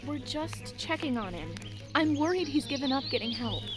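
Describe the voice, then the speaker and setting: A woman's voice: high voice, sing-song, normal speed, over music. An actress playing a concerned, determined young woman in an audio drama game, earnest and insistent.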